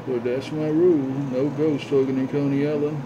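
Male CB radio operator, showy repetitive patter with flat, narrow intonation, dull lo-fi sound under heavy noise. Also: a little high, moderate pace. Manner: repetitive, showy chanting of phrases. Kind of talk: CB radio talk, chanting catchphrases.

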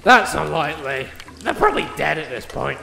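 A sharp, crackly little gnome, greedy and mocking, in a comic fantasy audio play. He swears crudely in a forceful voice. It sounds echoey and a little noisy. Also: on the high side, sing-song, brisk, vocal fry, exaggerated voice. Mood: greedy bickering. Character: foul-mouthed, greedy, scheming little male gnome. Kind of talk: comedic fantasy audio drama. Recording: echoey reverberant room with some noise.

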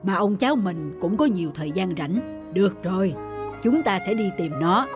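A woman voicing a gentle, affectionate, patient old grandfather in a cartoon. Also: mid-range voice, expressive, moderate pace, natural voice. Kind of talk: cartoon voice acting. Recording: music playing behind the voice.

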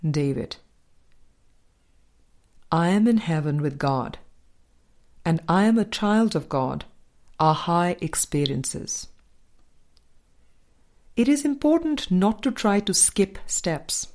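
A woman narrating an audiobook, reading from a script in a measured manner. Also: lowish voice, sing-song, a little mellow.

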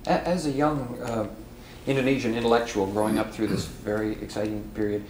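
A middle-aged man putting formal interview questions in a measured, deliberate way. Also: lowish voice, little pitch movement, a little mellow. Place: large wood-panelled room with glass walls, indoors. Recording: roomy sound, faint background noise.

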